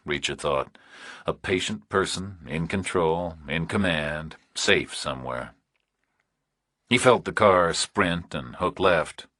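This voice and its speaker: A man's voice: low-pitched, bright, forceful tone, breathy edge, no room sound, clean studio sound. A man reading scripted thriller narration as an audiobook narrator.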